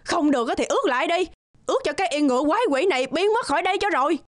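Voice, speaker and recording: A woman's voice: high voice, lively intonation, racing, no echo, studio quality. A woman playing a bossy, exasperated middle-aged wife in a children's fairy-tale cartoon, nagging and scolding. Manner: scolding, exclamatory.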